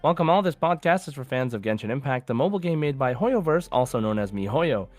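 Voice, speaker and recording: A man's voice: medium pitch, expressive, fast talker, faint music behind. A man hosting a podcast, chatting informally and conversationally about a video game. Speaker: podcast host.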